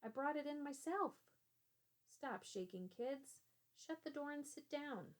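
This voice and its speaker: A woman's voice: high-pitched, lively intonation, unhurried, voice pushed up. A woman voicing a bossy, knowing blind man in a read-aloud storybook, snappish and challenging. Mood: irritated.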